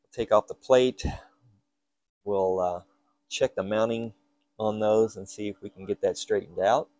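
Male hobby repair host: lowish, soft-edged mellow voice, informal, talking to himself at the workbench. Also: fairly even intonation, unhurried, dry recording. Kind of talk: casual hands-on repair narration. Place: indoor workshop at a workbench.

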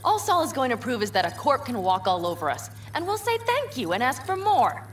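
Animated, headstrong young nomad woman. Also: sits high, brisk, faint music behind. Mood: sarcastic, indignant. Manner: emphatic, sardonic. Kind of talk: dramatic video game acting.

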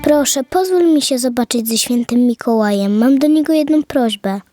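A woman's voice: sits high, moderate pace, warm tone, lightly acted. A woman playing a polite, earnest little girl who pleads sweetly in a children's audio play. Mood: polite, pleading. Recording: music under the voice.

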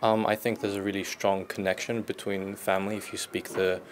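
A man's voice: on the low side, little pitch movement, a little fast, slight background noise. A young man from Australia, a student answering interview questions thoughtfully, often starting with um. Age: young adult. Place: classroom indoors. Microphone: small handheld mic near chest.

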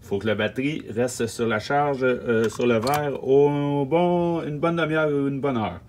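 A man with a Quebec French accent explains practical tips in an informal, insistent way. He pauses to search for words and repeats key ones for emphasis. His pitch is medium, and a faint hum sits behind him in a small storage space. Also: a little mellow. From Quebec, Canada. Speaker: film production logistics technician giving tips. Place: small wooden-lined storage truck interior. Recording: faint hum and background noise.

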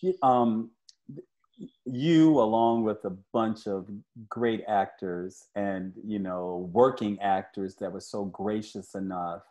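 Older man, mellow voice. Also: fairly low pitch, takes it slowly. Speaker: interviewer, comic creator. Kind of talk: casual interview chat. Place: living room at home, indoors, on a video call.

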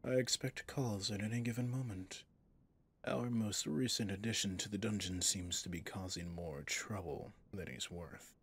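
A man playing a suave, possessive villain boss in an intimate ASMR roleplay, speaking in a courtly, seductive way with a breathy, husky voice. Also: lowish voice, moderate pace. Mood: composed, commanding. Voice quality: breathy, husky, often near a whisper.

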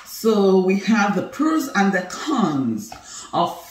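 A woman's voice: mid-range voice, slow pace, a little hiss or hum. Laughing Nigerian woman chatting. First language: Igbo. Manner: animated, laughing, playful and teasing. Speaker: co-host of a couple's channel. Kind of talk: casual chat to camera. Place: living room, indoors. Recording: roomy, somewhat distant sound.

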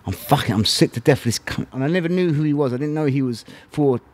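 Southern English male interviewee, middle-aged. Very quick delivery, street slang and swearing, animated anecdote style. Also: fairly high pitch. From England. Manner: animated, slangy, sweary, acting out remembered exchanges. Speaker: interview guest, former kickboxing champion. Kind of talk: casual storytelling interview.

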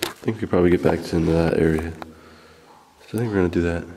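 A young man casually narrating as he explores an abandoned mine, joking and excited. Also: deep voice, very fast, slight background noise. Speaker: vlogger.

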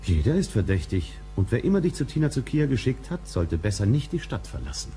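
An actor playing a grim, stern police boss in a crime radio drama, gruff and commanding. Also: male voice, mid-range voice, sing-song, a little fast, mellow, faint music behind. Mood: grim, pressing.

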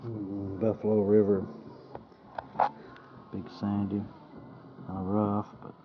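Low-voiced man, folksy and plainspoken. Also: monotone, takes it slowly, phone-quality sound. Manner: plainspoken, folksy, musing in short phrases. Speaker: artifact hunter filming his finds. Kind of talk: casual first-person show-and-tell commentary. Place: outdoors, handheld camera close to the ground. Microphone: handheld camera mic. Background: radio music playing in the background.

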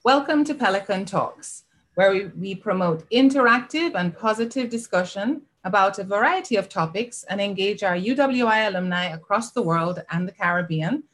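A woman's voice: mid-range voice, lively intonation, normal speed, fairly bright tone. A middle-aged woman hosting an online alumni interview, formally reading the guest's introduction over a video call. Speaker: host. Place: home room. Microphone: video call webcam.

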